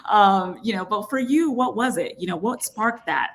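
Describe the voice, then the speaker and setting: A woman's voice: mid-range voice, animated, moderate pace. A woman hosting an interview, enthusiastic and affirming as she asks questions and reacts. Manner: enthusiastic and affirming, frequent "you know". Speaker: interview host. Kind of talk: conversational interview.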